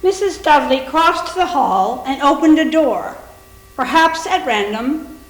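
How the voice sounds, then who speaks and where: A woman's voice: high voice, animated, a little slow. A woman reading scripted story narration in a readers theater performance. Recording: echoey room recording.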